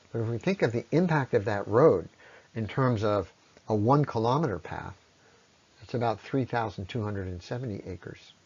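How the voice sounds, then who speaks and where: A man giving an explanatory science lecture in a measured way, pausing often and letting his phrases trail off at the ends. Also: lowish voice, darkish tone. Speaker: presenter on ecology. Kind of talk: explanatory lecture on environmental impact. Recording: dull, lo-fi voice recording.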